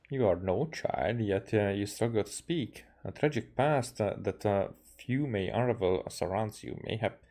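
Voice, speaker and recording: A man, a game streamer reading dialogue aloud haltingly and correcting himself as he goes. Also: fairly low pitch, animated, warm tone. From Hungary.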